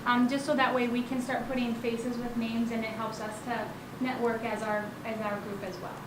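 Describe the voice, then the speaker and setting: A woman with a high voice opens the Q&A, speaking in a friendly way with many "um"s. She talks on a handheld mic in an echoey room. A hiss of noise runs behind her. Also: little pitch movement, normal speed. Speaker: event moderator. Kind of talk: moderating a Q&A. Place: meeting room.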